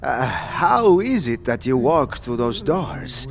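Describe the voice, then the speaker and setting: A man voicing a nervous, secretive male zealot who stammers, halting and hiding a lie. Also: mid-range voice, big pitch swings, pitched above the performer's own voice, exaggerated voice. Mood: uneasy. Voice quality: gravelly, stammering. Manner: halting, slow, jumpy between hushed and sharp. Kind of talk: fan audio drama acting.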